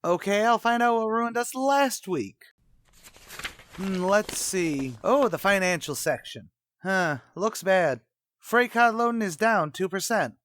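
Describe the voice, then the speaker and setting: A man's voice: high-pitched, slow pace, fairly bright tone, no room sound. A man playing a slangy, blustery, scheming New tavern manager in an old-time radio comedy, mangling his grammar as he wisecracks. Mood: musing.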